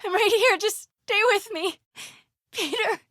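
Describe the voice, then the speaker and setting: A woman playing a plucky, quick-witted young female investigative reporter in a video game, with a breathy voice. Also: very high voice, dry recording, studio quality. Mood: desperate, pleading. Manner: hushed muttering to herself.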